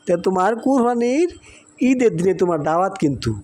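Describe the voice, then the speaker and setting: A high-voiced, cheerful village man, acted by a man for a cartoon. He speaks rural Bangladeshi Bengali in a chatty, colloquial way. He is hospitable, a little boastful and keen to insist. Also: animated, brisk, a little mellow. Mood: proud, friendly. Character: cheerful, hospitable village husband, boastful about his cattle. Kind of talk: amateur cartoon dialogue.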